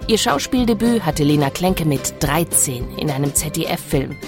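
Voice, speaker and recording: A woman reading a scripted introduction of a guest as a smooth, even voice-over. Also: low voice, a little slow. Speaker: announcer. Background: music.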